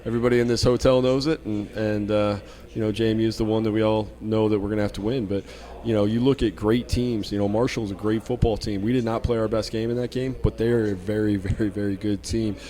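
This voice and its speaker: A man's voice: lowish voice, fairly even intonation, quick pace, few pauses. A man who coaches a college football team, talking candidly in a media day interview, often repeating and restarting words. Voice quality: loud voice when needed. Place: hotel at a media event.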